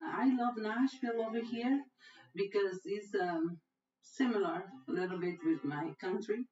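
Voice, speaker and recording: A woman's voice: medium pitch, measured pace, darkish tone. A middle-aged woman from Rica, speaking simple, broken English in an interview. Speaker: interviewee, mother. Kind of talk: documentary interview. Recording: roomy, distant, dull audio with music.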